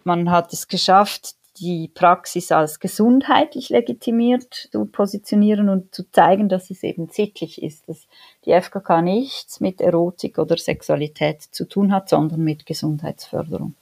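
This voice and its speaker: A woman's voice: on the low side, moderate pace, vocal fry, a little mellow, husky. A woman with a Swiss accent, speaking as an expert interview guest and explaining things conversationally. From Switzerland.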